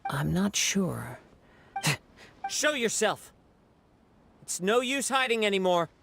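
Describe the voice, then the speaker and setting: A man playing a calm, perceptive young wandering samurai in a video game, speaking in a measured, polite, formal way. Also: high voice, gravelly, a little hiss or hum, full character voice. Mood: wary. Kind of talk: scripted video game acting.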